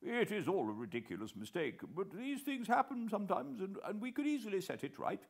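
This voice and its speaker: A man voicing a plump English gentleman who stammers a little and picks his words. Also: high voice, very animated, brisk, creaky, husky, pitched above the performer's own voice, heavily acted. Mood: placating.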